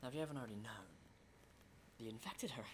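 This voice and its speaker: Hushed, edgy male apocalypse survivor acted by a man. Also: medium pitch, slight background noise, lightly acted. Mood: uneasy, explanatory. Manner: halting, hushed, rambling, profane. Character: battle-hardened, rattled male survivor of a zombie apocalypse. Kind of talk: ASMR roleplay monologue.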